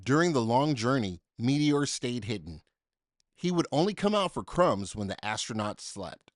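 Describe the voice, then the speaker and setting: A man reads a children's storybook with a lively, swooping delivery. His pitch sits in a middle range and moves widely, with deliberate, dramatic emphasis. The recording is dry and close. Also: dry recording. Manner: dramatic, deliberate phrasing with emphasis for young listeners. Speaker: storybook reader. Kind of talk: expressive children's story read-aloud.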